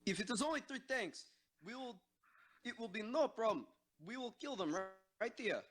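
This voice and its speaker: A man playing a stern, demanding tank commander, giving halting, clipped orders in an amateur audio drama. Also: extremely high pitch, expressive, fairly bright tone, pitched above the performer's own voice, heavily acted. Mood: commanding.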